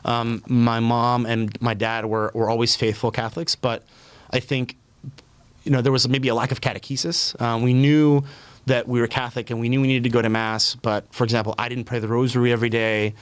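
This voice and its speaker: A man's voice: medium pitch, a little fast, clean tone, no room sound. A man in his thirties from Puerto Rico, a podcast guest talking casually with lots of ums and restarts. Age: 30s. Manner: relaxed, many fillers and restarts. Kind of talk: casual conversational interview.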